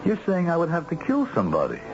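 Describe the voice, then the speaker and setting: An actor playing an anxious, morally appalled family man in a radio drama, halting and agitated as he repeats himself. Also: a little high, wildly swooping pitch. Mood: incredulous. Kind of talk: 1970s radio drama acting. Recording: muffled old radio broadcast, music underneath.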